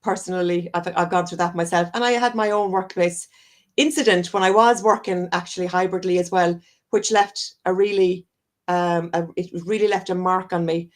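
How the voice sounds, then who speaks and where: A woman's voice: lowish voice, fairly even intonation, moderate pace. An Irish woman, a wellness coach, talking earnestly and at length as a guest on a live-streamed video call interview, often circling back and correcting herself. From Ireland. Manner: earnest, rambling, self-correcting.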